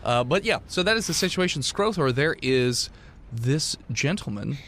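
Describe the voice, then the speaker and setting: A man runs a tabletop game as host and game master over some background noise. His voice sits at an ordinary middle pitch. He describes each scene in a loose, conversational way, mixing narration with casual banter. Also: expressive, moderate pace, a bit bright.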